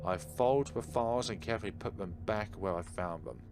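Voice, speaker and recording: A man reading a visual novel aloud as a let's-play host, theatrical and drawn-out, with dry ad-libbed asides. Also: on the low side. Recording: prominent game music behind the voice. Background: video game music.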